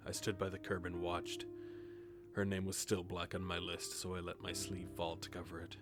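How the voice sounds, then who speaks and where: Male actor as ageless grim reaper narrator: very low voice, restrained and grave, audio drama over clear music. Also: monotone, normal speed, lightly acted. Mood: detached. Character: grim reaper narrator, centuries old, detached, puzzled. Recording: music behind the voice. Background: music with guitar.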